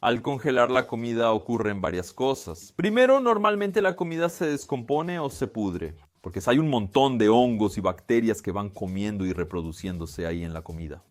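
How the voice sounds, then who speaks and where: A man hosting a casual science explainer, chatty and lively with quick exclamations. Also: medium pitch, no room sound. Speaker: science video host.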